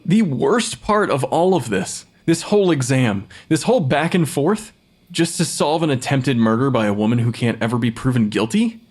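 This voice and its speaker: An actor playing a weary, bitter forensic pathologist who talks to the dead in an audio drama. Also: male voice, mid-range voice, animated, moderate pace, a little mellow, breathy edge. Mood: bitter, accusing.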